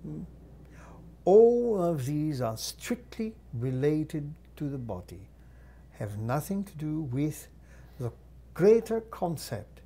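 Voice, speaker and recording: A man channeling a guide entity, teaching in a measured, formal way with long pauses and thoughtful hums. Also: fairly high pitch, very animated, dark tone. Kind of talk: slow deliberate channeled teaching.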